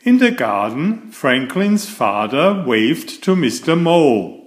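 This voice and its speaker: Slow, lively male storybook reader. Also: on the high side, echoey room. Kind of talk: scripted children's picture book read-aloud.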